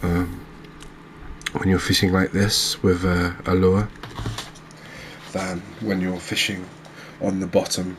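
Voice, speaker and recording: A man giving quiet, unhurried ASMR-style commentary as he plays a video game, musing aloud with hesitant pauses. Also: low voice, level tone, background noise. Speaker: host of a gaming channel playing a fishing game.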